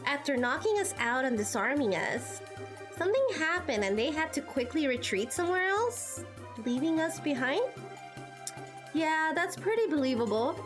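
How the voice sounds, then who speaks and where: A woman's voice: sits high, big pitch swings, moderate pace. A woman streamer doing amateur voice acting of dialogue from video game cutscenes. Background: music.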